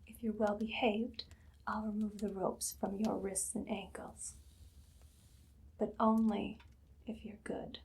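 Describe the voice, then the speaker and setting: A woman voicing an imperious, menacing evil queen in a whispered ASMR roleplay, speaking softly and deliberately with a condescending, commanding air. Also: medium pitch, little pitch movement, full character voice. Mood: stern, threatening. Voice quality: breathy, soft, much of it whispered.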